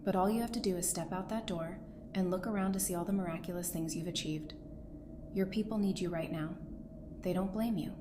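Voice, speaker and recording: Over clearly noisy ambience comes a compassionate, steadfast survivor of the apocalypse, acted by a woman. Her voice sits a little low for a woman. She speaks earnestly and with measure, as audio drama dialogue meant to encourage. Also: fairly even intonation, brisk, lightly acted. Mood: gentle, earnest.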